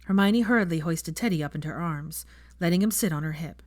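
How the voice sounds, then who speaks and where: A woman's voice: fairly low pitch, fast, a little mellow, dry recording. A woman narrating a story from a script as an audiobook-style reader of fan fiction.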